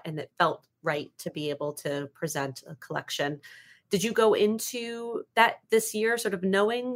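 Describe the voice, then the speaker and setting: A woman's voice: fairly low pitch, fairly bright tone. A woman moderating a panel, asking informal questions and rephrasing them as she goes. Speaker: moderator, interviewer.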